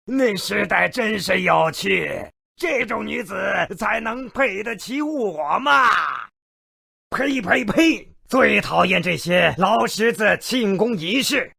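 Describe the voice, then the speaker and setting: Game gnome narrator: cynical and bloodthirsty, forceful raspy edge, swooping theatrical delivery, very dry recording. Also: male voice, high voice, no echo, heavily acted. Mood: sardonic storytelling, then grumbling contempt. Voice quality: gravelly, raspy. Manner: theatrical, sarcastic, gleefully morbid. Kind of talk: theatrical fairy tale narration.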